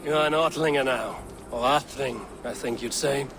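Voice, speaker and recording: An actor playing a steadfast, loyal Viking warrior in a video game, with a direct and assured manner. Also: male voice, mid-range voice, normal speed, vocal fry, noisy background, close to an everyday voice. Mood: steady.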